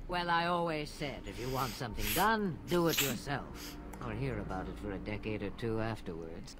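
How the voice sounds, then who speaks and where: A woman's voice: rumbling low, expressive, moderate pace, loud music behind the voice. A woman voicing an imperious, sardonic old witch mother in a fantasy game, dry and commanding. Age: older. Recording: game audio over noisy outdoor ambience.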